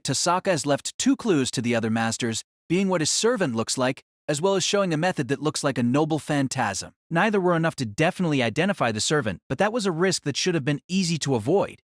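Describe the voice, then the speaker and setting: Narrator for an audio drama: man, slightly high voice, very varied melody, echo-free sound. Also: very animated, a little fast, hardly any pauses, studio quality.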